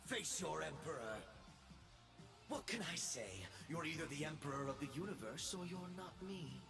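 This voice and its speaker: An actor playing a gloating, unhinged young space emperor in a video game, grandiose and arrogant, swinging between shouts and hushed menace. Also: male voice, mid-range voice, far from the mic. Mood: scornful, imperious. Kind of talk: theatrical video game voice acting.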